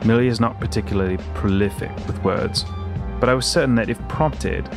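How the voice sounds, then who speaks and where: A man plays a formal investigator in a tabletop game, in a fairly low voice. He is analytical and wordy, measured and awkwardly delicate, with light music behind him. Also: natural voice.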